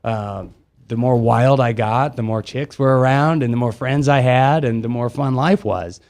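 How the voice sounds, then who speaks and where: A man's voice: medium pitch, normal speed, darkish tone. A man who is a regular on a radio talk show, speaking reflectively about his own past. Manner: reflective, personal storytelling. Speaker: regular co-host.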